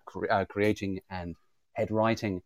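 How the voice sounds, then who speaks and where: A man's voice: fairly low pitch, level tone, measured pace, a little mellow, no echo. A British man in an informal live conversation, speaking in a wry, effusive, mock-formal way. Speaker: audio drama writer, interviewee. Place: remote live podcast session.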